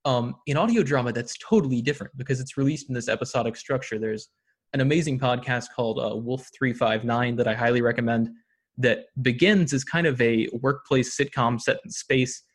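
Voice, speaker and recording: A man's voice: medium pitch, fairly quick. A man talking as an interview guest, enthusiastic and full of filler words like um and uh. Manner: enthusiastic, many ums and uhs. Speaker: podcast guest, audio drama producer. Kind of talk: casual interview talk.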